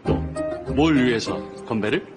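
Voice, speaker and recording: A man's voice: medium pitch, animated, normal speed, loud music behind the voice, close to an everyday voice. An actor playing a cocky older man who teases the younger man and boasts about his drinking. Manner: teasing, boastful, casual. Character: cocky older brother-in-law figure bragging about drinking.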